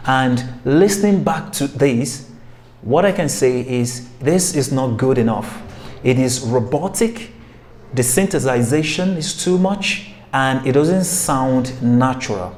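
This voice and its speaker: A man from Nigeria, a voiceover artist walking through a software tutorial step by step. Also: mid-range voice, expressive, slow pace. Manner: step-by-step explaining, direct opinions.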